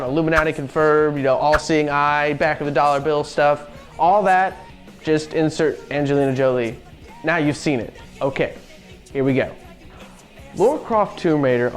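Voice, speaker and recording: A young man hosting a casual video review show, reading out facts and riffing on them offhandedly. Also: a little high. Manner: chatty, offhand, reads facts then riffs. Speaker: podcast host.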